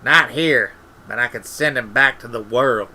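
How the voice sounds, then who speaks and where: A man playing a young man turning cold and deathly in an audiobook, speaking in a terse, deliberate, menacing way. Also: mid-range voice, bright, forceful tone, a little hiss or hum. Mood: quiet menace.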